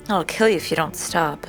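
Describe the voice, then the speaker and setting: A woman's voice: sits low, poor audio. An actress playing a guarded young noblewoman in a mask who talks in a wary, blunt way. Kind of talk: audio drama acting.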